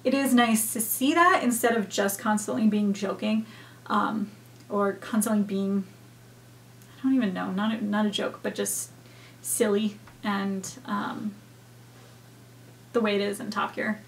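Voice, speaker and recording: A woman's voice: mid-range voice, steady tone, unhurried, pauses often, a little hiss or hum. A young woman hosting a reaction video from a room at home, talking casually and thoughtfully with many fillers.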